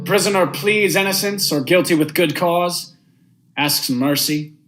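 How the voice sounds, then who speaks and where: A man's voice: high voice, unhurried, a bit bright, soft background music. A young man acting a stern judge and narrator in a vintage radio drama, speaking formally and with measure. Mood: brooding. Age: young adult. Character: stern, unmoved male judge, husband and brooding narrator.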